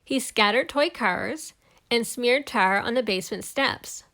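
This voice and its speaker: Female storybook reader, slightly high voice, expressive read-aloud narration for children. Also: measured pace.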